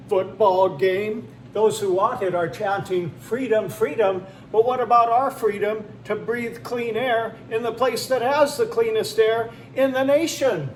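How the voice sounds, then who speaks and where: A man co-presenting a scripted campaign speech with rousing rhetorical appeals. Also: high-pitched. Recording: roomy, faint noise.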